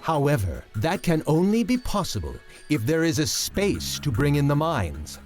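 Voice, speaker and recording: A man playing an analytical young ninja in an anime game cutscene, with formal, articulate, deliberate phrasing. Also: on the high side, huge pitch swings, darkish tone. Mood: intrigued, analytical. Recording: music under the dialogue.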